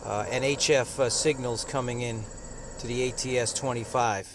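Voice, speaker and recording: A man giving a casual hands-on product review outdoors, speaking in a halting, hesitant way. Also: medium pitch, steady tone. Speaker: radio reviewer. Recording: outdoor noise, insects and traffic.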